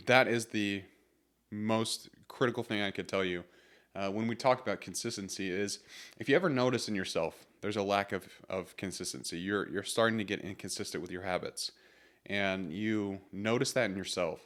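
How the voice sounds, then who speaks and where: A man's voice: lowish voice, moderate pace, fairly bright tone. A man hosting his solo motivational podcast in a casual, rambling way, his voice congested from a cold. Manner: casual, rambling with fillers and restarts. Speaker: podcast host.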